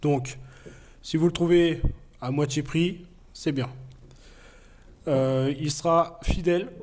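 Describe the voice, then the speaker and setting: A man's voice: on the high side, steady tone, slow, darkish tone. A man who has a cold, reviewing a knife in a plain, earnest way with frequent hesitations. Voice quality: hesitant, many fillers. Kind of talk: casual product review.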